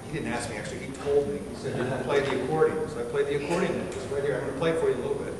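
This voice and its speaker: A man's voice: medium pitch, fairly even intonation, racing, recorded from a distance. A man in his forties from Chile, an army band musician giving an informal talk to cadets, chatty and quizzing the audience. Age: 40s. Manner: chatty, asks the audience questions. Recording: echoey hall, picked up from afar with background noise.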